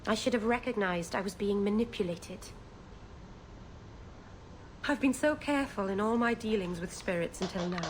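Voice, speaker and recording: Woman acting a young elven mage, video game, remorseful, earnest, soft-hearted, noticeable background noise. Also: mid-range voice, normal speed, pauses often, close to an everyday voice. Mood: remorseful, shaken. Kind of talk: video game character dialogue.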